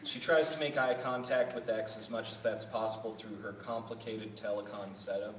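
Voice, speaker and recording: A man's voice: fairly low pitch, steady tone, normal speed. A man reading scripted narration and stage directions as the narrator of a table read. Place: indoor festival venue. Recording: distant echoey room with background noise.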